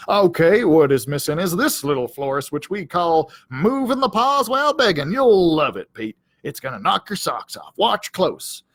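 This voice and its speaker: Male reader as self-important cowdog in a children's tale; blustery, halting, very animated pitch, dry sound. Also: dry recording, heavily acted. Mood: showing off. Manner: blustering, hesitant, stammering, pompous. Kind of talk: children's storybook character voice.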